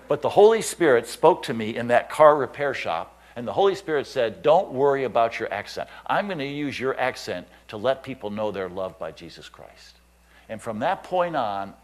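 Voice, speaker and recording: A man, a missionary pastor telling stories in a sermon and acting out the voices of the people he quotes. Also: medium pitch. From United States. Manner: animated storyteller, acts out quoted voices, chuckles. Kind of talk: storytelling sermon.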